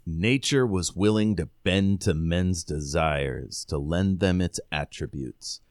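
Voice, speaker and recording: A man's voice: low voice, slow pace, no echo, studio quality. A man co-hosting a podcast, informally recapping and quoting in a casual book discussion. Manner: informal, conversational. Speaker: podcast co-host.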